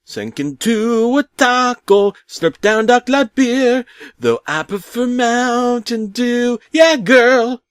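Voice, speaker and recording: A man voicing a dancing skeleton showman in a comic musical number, with drawn-out, showy delivery. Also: very high voice, lively intonation, smooth, dry recording, studio quality, voice pushed up, heavily acted. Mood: showy, exuberant. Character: dancing top-hatted skeleton showman belting a vaudeville number.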